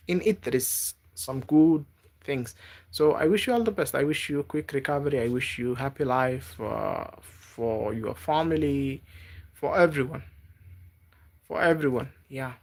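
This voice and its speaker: Gentle non-native English-speaking man. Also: fairly high pitch. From Africa. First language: not English. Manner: halting, repeats words and restarts, gentle advice. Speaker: livestreamer. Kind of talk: casual live-stream chat with viewers.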